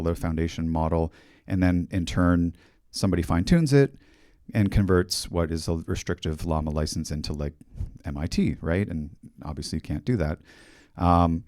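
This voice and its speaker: A middle-aged man with a Canadian accent giving a technical conference talk in a fairly monotone, steady voice, speaking into a handheld mic on a hall stage. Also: low voice, clean studio sound. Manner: monotone, steady explanatory delivery. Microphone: handheld microphone.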